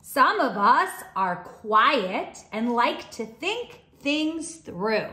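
High-pitched, animated woman reading to children. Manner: bright, deliberate, expressive delivery for young children. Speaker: children's teacher and read-aloud host. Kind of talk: children's storybook read-aloud. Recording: roomy, echoing indoor sound.

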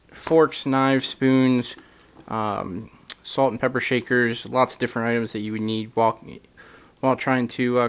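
A man's voice: mid-range voice, clear, resonant tone. A man giving a casual product walkthrough as a gear reviewer, filler-heavy, recorded indoors on a camera mic. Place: indoors, camera over a carpeted floor.

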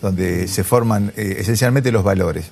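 A man's voice: lowish voice, moderate pace, gravelly, a little mellow, slightly rough. A man in his fifties with an Argentine accent giving hesitant, reflective answers in a studio interview on a lapel mic. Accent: Argentine Spanish. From Chacabuco, Argentina. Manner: hesitant, reflective, seeks agreement with tag questions. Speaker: interview guest, businessman. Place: television studio.

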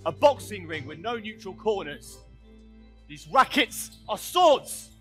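Man acting a cocky, animated squash champion. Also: high-pitched, measured pace, faint music behind. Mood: grand, combative. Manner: declamatory, cocky, emphatic. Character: boastful, tenacious male squash champion from Pakistan. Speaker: actor in a stage show about squash. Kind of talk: theatrical stage performance.

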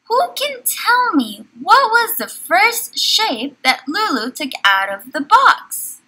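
A woman teaching young children online, reading aloud and asking questions in an encouraging, animated manner. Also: very high-pitched, slow. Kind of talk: children's online lesson with story read-aloud.

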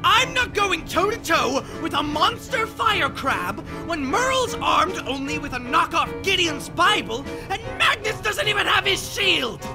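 A flamboyant young male elf wizard, as an actor plays him, high in pitch and unhurried. He is snarky and theatrical in a drawling comic dub, with loud music underneath. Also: sing-song, heavily acted. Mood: indignant. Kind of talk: comic fan dub acting.

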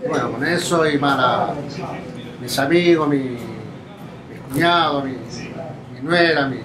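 A man's voice: mid-range voice, takes it slowly. An older man, a café owner talking plainly and unhurriedly in an interview, trailing off into fillers. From Argentina. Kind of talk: casual interview. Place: small café indoors, facing the camera. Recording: echoey café with crowd noise.

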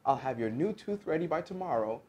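Storybook mouse dentist, read by a man: small, brave, businesslike, raised pitch, lively swings. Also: a little fast, husky. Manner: announcing, shouting orders, professional.

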